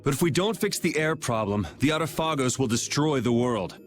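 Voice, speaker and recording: An actor playing a blunt, determined young hero with a casual, direct way of talking in a video game cutscene. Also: male voice, medium pitch, animated, a little fast, soft background music, natural voice.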